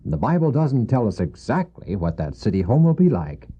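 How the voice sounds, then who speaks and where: An actor playing a gentle, weary widowed father in a radio drama, speaking tenderly and haltingly to his young son. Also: fairly low pitch, big pitch swings, breathy edge. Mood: tender, earnest. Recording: dull old cassette audio.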